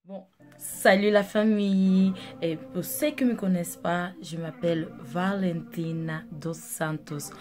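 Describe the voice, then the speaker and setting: A woman's voice: lowish voice, fairly even intonation, a little slow. A young woman from Angola whose first languages are Dutch and Portuguese, chatting casually and rambling with many fillers as a makeup artist vlogger. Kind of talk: casual chat to camera. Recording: music playing under the voice.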